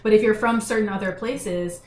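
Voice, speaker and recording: A woman's voice: mid-range voice, brisk, a little mellow. A woman hosting a radio discussion, speaking casually and reflectively as she thinks her points through. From immigrant to the United States. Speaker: radio show host. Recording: roomy echo, faint background noise.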